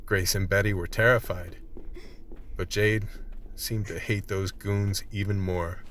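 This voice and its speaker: An actor playing a wry vice team member, narrating a radio play colloquially. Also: male voice, deep voice, fairly even intonation, a little slow, noisy background. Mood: grim storytelling. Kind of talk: scripted in-character narration.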